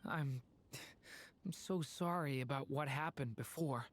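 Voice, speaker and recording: A woman voicing a gentle, earnest young boy in a video game, speaking softly and thoughtfully. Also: extremely low pitch, unhurried, slight background noise. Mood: hesitant, touched.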